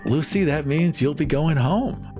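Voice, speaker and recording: A man's voice: fairly high pitch, unhurried, a little mellow. A man playing a tabletop roleplaying game, speaking conversationally as he improvises in character. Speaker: player in a tabletop roleplaying show. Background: faint music.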